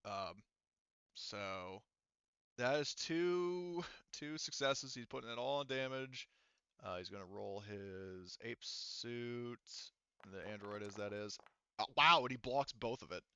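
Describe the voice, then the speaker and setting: A man runs a tabletop roleplaying game in a casual, improvised way. His voice is clear and medium in pitch, and he often pauses to think out loud. The recording is close and dry, with a slightly muffled top end. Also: dry recording. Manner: halting, thinking aloud while working out rules. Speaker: game master.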